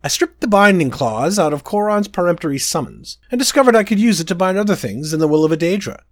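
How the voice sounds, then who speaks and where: A man voicing a vain, excitable young prince who talks pompously and is very pleased with himself. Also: fast, dry recording, studio quality. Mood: smug. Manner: pompous and self-satisfied, shrill when excited. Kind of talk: audiobook character voice.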